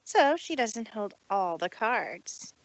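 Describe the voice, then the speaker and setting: A woman voicing a proud, self-important female pony in a fan fiction read-aloud, speaking deliberately and indignantly. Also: mid-range voice, sing-song, dry recording, poor audio. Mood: resolute.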